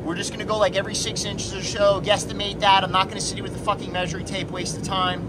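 A man's voice: high-pitched, level tone, fast talker, heavy background noise. A young man giving casual, blunt how-to commentary while welding, swearing freely as he explains his work. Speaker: welder vlogger. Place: garage workshop and truck cab, in winter.

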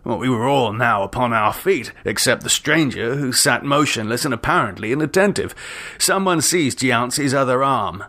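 A man's voice: medium pitch, animated, normal speed, pushed and bright. A man narrating a scripted story as a dramatic audiobook reader.

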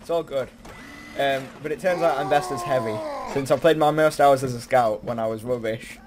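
A young British man chatting casually and excitably with a friend as he commentates on a game, often putting himself down. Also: medium pitch, moderate pace. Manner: excitable, self-deprecating. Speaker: gaming video host. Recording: noisy amateur mic, game audio behind.

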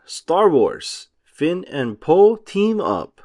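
Slow, lively man reading a children's storybook. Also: high voice. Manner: deliberate, clearly phrased reading for young children. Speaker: storybook narrator. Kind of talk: children's read-aloud narration.

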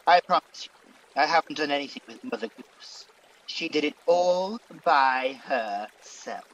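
A man's voice: on the high side, expressive, a little slow, fairly bright tone, big-room sound, dull audio. An actor playing a scheming, boastful Demon King villain in a radio pantomime, with a grandiose, theatrical delivery. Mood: gloating.

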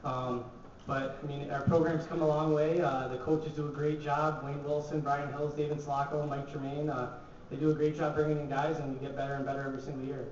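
A man's voice: on the high side, little pitch movement, a little fast, darkish tone. A young man, a college hockey player, answering reporters casually with many fillers at a press conference table. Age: young adult. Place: large echoey press room. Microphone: table mic, from a distance. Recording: echoey, distant, dull, noisy.

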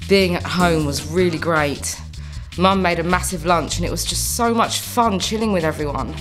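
Actress as a hopeful, chatty patient, lowish voice. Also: female voice, moderate pace, music in the background, lightly acted. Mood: happy, recounting. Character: hopeful female psychiatric patient, chatty and keen. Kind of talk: scripted monologue.

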